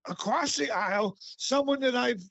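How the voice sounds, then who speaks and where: Raspy middle-aged guy, rambling with lots of uhs, bit muffled lo-fi sound. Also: very high-pitched, dry recording. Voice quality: raspy, gravelly. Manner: relaxed, halting with fillers. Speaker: author guest. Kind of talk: casual interview.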